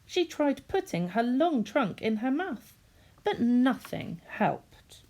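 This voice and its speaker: A woman's voice: a little high, very animated, slow, a little mellow. A woman reading a children's story expressively, in the manner of a teacher speaking to young listeners.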